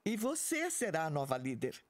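Female military commander, authoritative, persuasive, lively intonation, audio drama. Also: low voice, moderate pace. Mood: firm, persuasive. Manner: authoritative, insistent.

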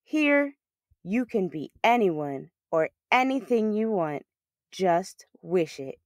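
A woman's voice: mid-range voice, very animated, takes it slowly, no room sound, studio quality. A woman voicing a serene, mysterious wish-granting dream spirit in a storybook. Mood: magical.

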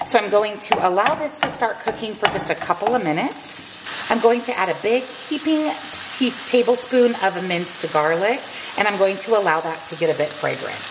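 A woman's voice: medium pitch, animated, normal speed, very noisy. Upbeat woman in an echoey kitchen. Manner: upbeat step-by-step instructions. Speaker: cooking vlogger. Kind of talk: meal prep voice-over. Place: home kitchen.

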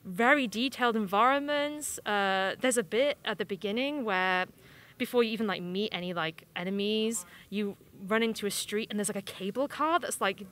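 A woman's voice: medium pitch, normal speed, a bit bright. A young woman giving casual, chatty game impressions in informal, slangy, rambling phrases into a handheld microphone. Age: young adult. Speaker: games journalist giving hands-on impressions. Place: small indoor room at a games convention.

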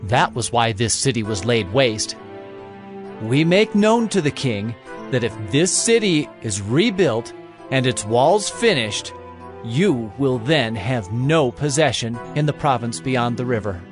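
A Persian provincial official in a biblical audio drama, declaiming an accusing letter formally. The pitch is mid-range, with soft music underneath. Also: male voice, big pitch swings, a little slow. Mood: formal accusation.

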